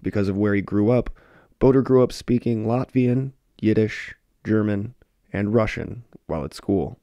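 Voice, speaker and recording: A man narrating a short history film in a measured, scripted way. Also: fairly low pitch, warm tone, no echo, dull audio. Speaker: documentary narrator.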